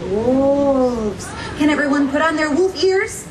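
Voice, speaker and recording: A woman performs as a gracious young princess telling a story to children at a live show. Her voice is very high and animated, theatrical and full of encouraging questions. The recording is distant and echoey, with crowd noise around her. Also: slow pace. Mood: animated storytelling. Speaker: theme park storytelling performer. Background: audience crowd noise.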